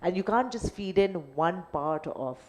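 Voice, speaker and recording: A woman's voice: fairly low pitch, lively intonation, unhurried, heavy background noise. A middle-aged woman with a Pakistani English accent, speaking on a business panel in a thoughtful, hesitant way with many fillers. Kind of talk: panel discussion. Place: event hall.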